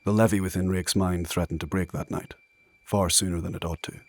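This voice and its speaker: Fantasy narrator: low, raspy voice, grave and foreboding, scripted storytelling. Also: male voice, little pitch movement, fairly quick. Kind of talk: scripted narration, literary and solemn.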